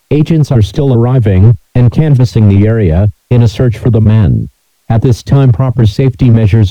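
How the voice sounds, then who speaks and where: A man reading a scripted emergency broadcast announcement in a formal, mechanical monotone. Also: on the low side, no echo, lo-fi sound.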